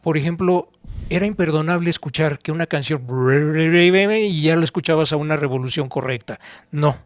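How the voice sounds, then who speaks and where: A man's voice: a little high, moderate pace, dry recording. A Mexican man, middle-aged or older, chatting casually as a podcast co-host in an enthusiastic, explanatory, emphatic way. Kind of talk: casual conversational talk recalling radio technology and history.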